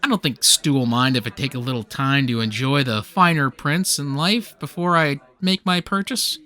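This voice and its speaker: An actor playing a young, theatrical, self-centred comic-book fan with a whiny, jokey way of talking in a comedy radio play. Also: male voice, mid-range voice, moderate pace, pushed and bright, natural voice. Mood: grand, eager.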